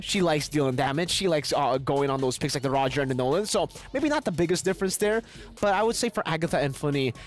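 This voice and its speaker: A man casting and analysing an esports match, joking back and forth with his co-caster. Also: fairly high pitch.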